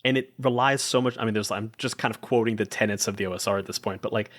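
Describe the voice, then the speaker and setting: A man's voice: fairly low pitch, fairly even intonation, quick pace, a bit bright. A man chatting casually and eagerly as an enthusiastic guest player on a game podcast. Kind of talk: casual chat.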